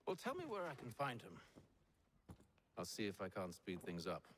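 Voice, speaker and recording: A man playing a courteous, self-assured gentleman leader with formal speech in a video game. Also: lowish voice, wildly swooping pitch, moderate pace, slight background noise. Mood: purposeful. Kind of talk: video game character acting.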